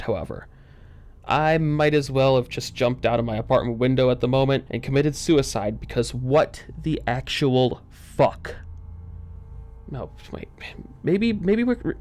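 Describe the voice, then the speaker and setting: A man narrating a first-person horror story in a dramatic, tense, expressive way with pauses for suspense. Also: medium pitch. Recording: eerie music under the voice. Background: background music.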